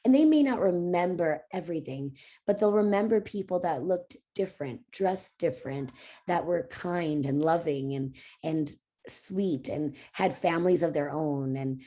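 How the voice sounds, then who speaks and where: Low, mellow-voiced woman giving a keynote. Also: normal speed. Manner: earnest storytelling with fillers and restarts. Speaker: keynote speaker. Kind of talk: personal keynote speech. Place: conference stage.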